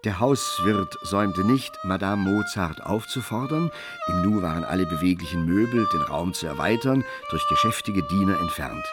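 A composed literary storyteller, narrating a radio play in a fairly low voice. Prominent string music plays behind the narration. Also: male voice, expressive, moderate pace.

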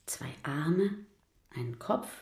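Confident escort, low dark voice, roomy sound. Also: female voice, lively intonation, slow pace, gravelly, heavily acted. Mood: seductive. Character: self-assured female escort, cool and seductive. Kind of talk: radio drama acting. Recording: roomy studio reverb.

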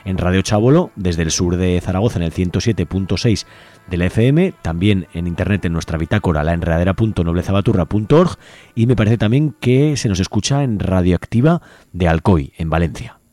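A man's voice: low-pitched, expressive, brisk, warm tone. A man presenting a live community radio show in a conversational way. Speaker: radio host. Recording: music playing behind the voice. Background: music.

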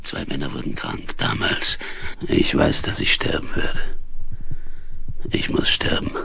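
An actor playing a dying, delirious space explorer in a radio play, halting and weak, with breath sinking into whispers. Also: male voice, sits low, gravelly, exaggerated voice. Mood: haunted, despairing. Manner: slow, halting, laboured delivery. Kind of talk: 1970s German radio play acting, dramatic monologue. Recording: hissy, humming 1970s vinyl recording.

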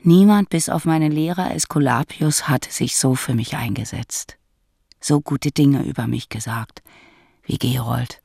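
An actor playing a reflective narrator recalling a medieval past in a German radio drama, measured and literary. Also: male voice, medium pitch, gravelly, dark tone, slightly rough, no echo, clean studio sound. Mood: wistful.

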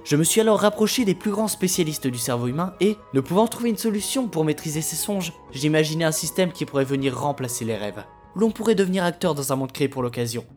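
An actor playing a self-assured, visionary video game company boss, speaking formally in an audio drama interview. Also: male voice, fairly high pitch, expressive, quick pace, few pauses, a little mellow, soft background music, lightly acted. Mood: confident. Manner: formal, polished, explanatory.

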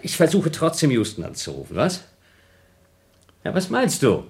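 A man playing an impatient, excitable astronaut trapped on a moon station, in an urgent radio play style. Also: a little high, normal speed, vocal fry, exaggerated voice. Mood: agitated.

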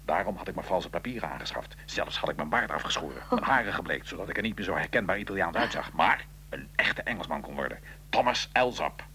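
A man's voice: deep voice, animated, vocal fry, bright, forceful tone, a little hiss or hum, full character voice. An actor playing a proud Italian revolutionary assassin of the nineteenth century, recounting his plot in a theatrical, emphatic radio-drama manner. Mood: confident recounting. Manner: theatrical, emphatic, measured storytelling. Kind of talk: radio drama acting, interview-like dialogue.

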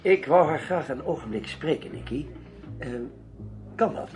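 Brisk probing newspaperman played by a man. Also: on the high side, animated, a little mellow, faint music behind, natural voice. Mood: genial, businesslike. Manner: commanding, probing. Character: brisk, impatient male newspaperman investigating a mystery. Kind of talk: radio drama acting.

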